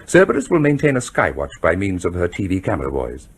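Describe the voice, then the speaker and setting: An actor playing a composed, self-assured military official in a radio drama, explaining things in a measured, didactic way. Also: male voice, low-pitched, huge pitch swings, husky, heavily acted. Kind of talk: broadcast radio drama acting.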